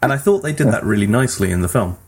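A man's voice: lowish voice, lively intonation, rapid-fire, darkish tone, breathy edge. A man hosting a casual group chat show, talking in an informal, conversational way. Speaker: podcast host. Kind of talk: casual group chat about films and games.

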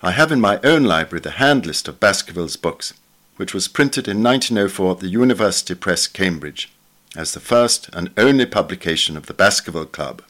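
A man reading a scripted article aloud in a measured, formal, bookish manner. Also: sits low, expressive, fairly bright tone. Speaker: reader.

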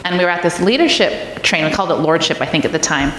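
Low-voiced woman preaching in an echoey hall. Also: quick pace, a bit bright. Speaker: guest preacher. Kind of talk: informal sermon address with personal anecdotes. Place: large echoey church room, live audience. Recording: echoey hall, clear background noise.

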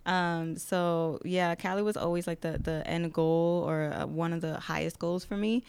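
Low, gravelly young woman. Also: moderate pace, dry recording. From Connecticut, US. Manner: relaxed, laughs often, slangy. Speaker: podcast guest. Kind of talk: casual interview chat.

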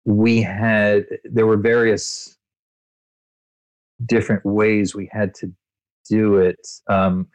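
A male voice actor being interviewed, telling expansive, animated anecdotes with frequent restarts. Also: lowish voice, slow, long pauses, no echo, poor audio. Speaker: voice actor guest. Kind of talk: interview answers, storytelling.

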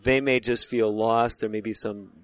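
A man's voice: on the low side, steady tone, moderate pace. A man hosting a radio health show, interviewing a guest in a booth at a conference hall, chatty and given to small restarts. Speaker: radio show host and physician. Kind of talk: conversational interview.

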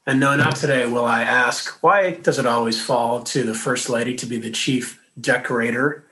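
A man giving a sermon to his congregation, speaking gently and conversationally. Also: medium pitch, moderate pace, poor audio. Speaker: minister.

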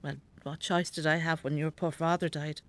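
An actress playing a weary, sharp-tongued elderly Irish mother in a naturalistic radio drama, grumbling bluntly. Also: low voice, flat delivery, normal speed, natural voice. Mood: weary, complaining. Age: older.

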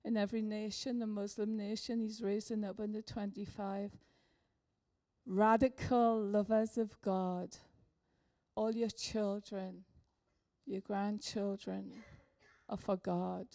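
An older woman preaching a sermon, a little hoarse from a cold, effusive and exclamatory. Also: mid-range voice, flat delivery, takes it slowly, dark tone.

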